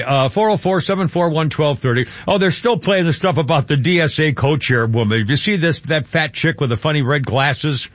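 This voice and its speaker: A man's voice: a little high, dry recording, lo-fi sound. A man hosting a radio show, talking in a rambling, folksy way with many fillers and restarts. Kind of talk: casual talk radio monologue.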